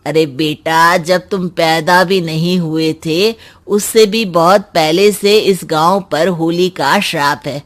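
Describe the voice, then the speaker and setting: A woman's voice: fairly low pitch, slow pace. A woman voicing a solemn, superstitious old villager cautioning a youngster in a cartoon story. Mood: grave.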